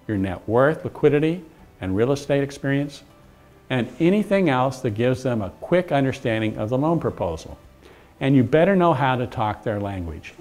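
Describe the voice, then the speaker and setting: A man's voice: medium pitch, darkish tone. A man delivering scripted instructional narration in a businesslike, direct way, emphasising his advice as a presenter. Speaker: presenter, commercial mortgage broker giving tips.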